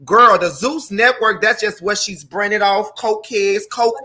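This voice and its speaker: Southern guy, sassy gossip, bright forceful voice, lots of slang n swearing. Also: high-pitched, unhurried. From Houston, Texas. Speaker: talk show panelist. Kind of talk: casual gossip chat.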